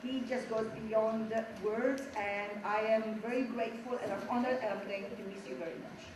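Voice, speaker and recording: A woman's voice: fairly high pitch, steady tone, moderate pace. Woman teacher praising a student in an echoey hall. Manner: warm, heartfelt praise. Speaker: French teacher. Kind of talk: award speech at a school assembly. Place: school assembly hall. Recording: echoey hall, distant mic, background noise.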